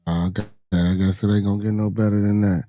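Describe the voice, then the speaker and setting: A hoarse man hosting a podcast in loose, slangy casual chat, muttering to himself as he fumbles to share a clip. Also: lowish voice, little pitch movement, fast talker, dark tone. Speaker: podcast host. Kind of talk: casual live-stream chat.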